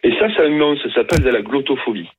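A man's voice: mid-range voice, animated, very fast, slightly rough. A man from Arles calling in to a radio show, arguing his point emphatically. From Arles, southern France. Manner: emphatic, argumentative. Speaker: radio caller. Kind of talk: phone-in opinion. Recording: muffled phone line.

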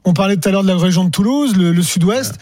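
Fast-talking high-voiced man, dry studio. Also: no echo. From France. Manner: rambling, animated, chatty. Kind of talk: casual radio chat.

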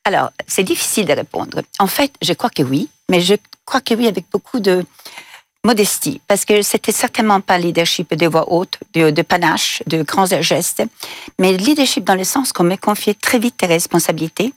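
A woman's voice: fairly low pitch, animated, normal speed, dry recording. A middle-aged Italian woman with an Italian accent, giving a reflective personal account as an interview guest. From Italy. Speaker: interview guest, business leader.

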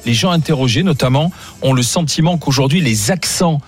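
A male talk radio host with a fairly high voice and a brisk, lively delivery. He leads the discussion with question after question, and faint music plays behind him. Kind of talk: hosting a talk radio debate.

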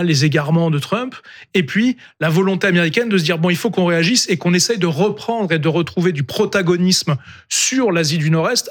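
A man giving analytical commentary as a panelist in a live discussion. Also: fairly high pitch.

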